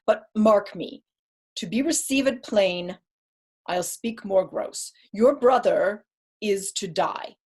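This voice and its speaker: Woman playing a cold scheming judge in shakespeare, husky voice, formal n probing, keeps pushing. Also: medium pitch, a little mellow. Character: cold, calculating male deputy judge, coercive and insinuating. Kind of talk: Shakespearean staged reading.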